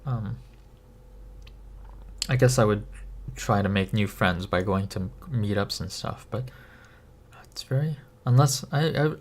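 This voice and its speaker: A young man from Korea talks to his livestream viewers in a fairly low voice. He speaks slowly, pausing often and restarting his sentences as he thinks. The tone is casual and conversational. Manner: slow, halting, thinking aloud with many pauses. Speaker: livestream host. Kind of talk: casual livestream chat reading viewer questions.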